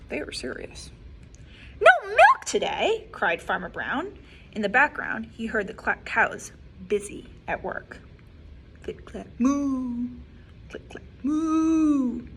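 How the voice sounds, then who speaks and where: A woman librarian reading a picture book aloud to children, expressive and animated, with soft whispered asides. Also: a little high, takes it slowly, noisy background. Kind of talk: children's story time read-aloud.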